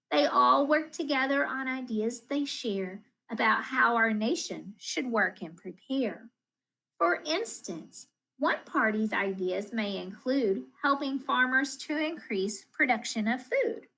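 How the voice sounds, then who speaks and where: Female storybook reader, school-educator read-aloud. Higher register, unhurried pace, rhythmic and expressive delivery of children's verse. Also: gravelly, rough recording. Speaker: school math coach reading aloud.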